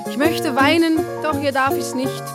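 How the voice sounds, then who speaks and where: A woman's voice: high-pitched, fairly even intonation, normal speed, close to an everyday voice. An actress playing a nervous, status-minded young girlfriend, reciting fretful rhymes in a radio play. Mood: nervous, tearful. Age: young adult. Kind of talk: radio play verse monologue to music. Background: loud piano music.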